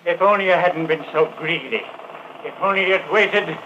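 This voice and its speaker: Male actor as bitter wounded old man, high strained forceful voice, taunting, vintage radio drama, muffled recording. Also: normal speed, noisy background, natural voice. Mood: bitter, reproachful. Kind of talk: 1940s-50s radio acting.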